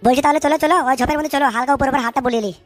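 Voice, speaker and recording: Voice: high voice, fairly even intonation, very fast, faint music behind, lightly acted. An actor voicing a crude, lovestruck cartoon sidekick, defensive and shifty, in speech, blustering and stammering when flustered. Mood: excitable, flustered. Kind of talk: comic cartoon dub with street slang.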